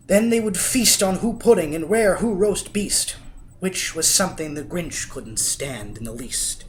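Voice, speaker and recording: Male storybook reader, lighter-pitched and unhurried, dramatic emphatic delivery of rhyming verse, faint background noise. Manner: theatrical, emphatic, swelling and dropping for effect. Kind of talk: expressive rhyming children's story narration.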